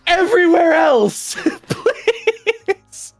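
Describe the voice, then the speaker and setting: A woman co-hosting a livestream with excitable, playful casual banter and comic exclamations. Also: very high voice, very slow, soft background music. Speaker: co-host and voice actor on a livestream.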